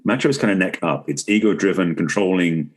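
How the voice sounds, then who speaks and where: A man's voice: sits low, a little fast, husky. A man speaking as a podcast guest in a conversational interview, explaining things earnestly. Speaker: podcast guest, relationship expert and author.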